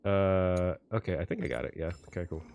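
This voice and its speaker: A man's voice: low-pitched, fairly quick, creaky, a little mellow, lo-fi sound, slight background noise. A man giving casual reaction commentary while gaming, rambling, repeating phrases and swearing casually. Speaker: gaming content creator.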